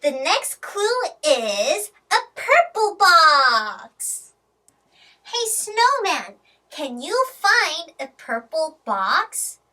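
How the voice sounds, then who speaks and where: A woman's voice: extremely high pitch, big pitch swings, extremely slow, bright, forceful tone. A woman hosting a playful children's English storytime, with exaggerated, sing-song delivery, repeating words for young learners.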